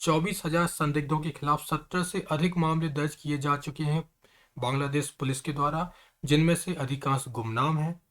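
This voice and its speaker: A man's voice: fairly high pitch, steady tone, normal speed, fairly bright tone. A man hosting an online news explainer, talking straight to viewers in an earnest, conversational way. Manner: earnest, repeatedly addresses viewers as friends.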